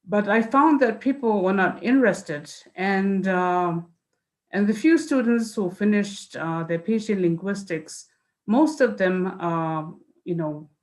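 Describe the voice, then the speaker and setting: A woman whose first language is Mizo, giving an online talk about her work over a video call, with frequent pauses and fillers. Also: medium pitch. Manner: hesitant, many fillers and restarts. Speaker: guest speaker, linguist. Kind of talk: online lecture introduction.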